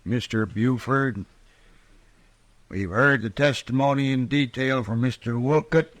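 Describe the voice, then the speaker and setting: A man's voice: medium pitch, unhurried, close to an everyday voice. A man playing a brusque, officious frontier judge in an old-time radio western, curt and offhand in his questioning. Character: brusque, biased frontier judge fond of liquor. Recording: vintage radio drama recording.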